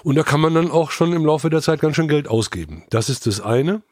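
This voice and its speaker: A man on a podcast explaining things casually as a sound specialist, with halting phrasing. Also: a little high, lively intonation, a little mellow, no room sound, clean studio sound.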